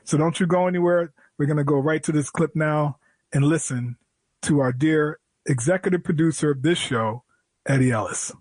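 A man hosting a radio show, speaking earnestly and slowly as he announces a program. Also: on the high side, a little mellow, no echo. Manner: earnest and slow, with drawn-out words. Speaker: radio host.

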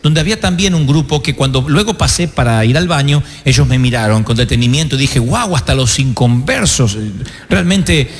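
A man with an Argentine accent, a pastor preaching a sermon with self-mocking stories and slow, emphatic stresses on key words. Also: medium pitch, lively intonation, in a large hall. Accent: Argentine Spanish. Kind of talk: sermon with humorous anecdotes.

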